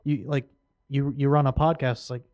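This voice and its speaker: A man co-hosting a podcast, telling a story in a halting, easygoing way in a small home studio. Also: medium pitch, steady tone, a little mellow, no echo. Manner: hesitant, easygoing, many fillers. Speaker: podcast co-host. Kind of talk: casual storytelling.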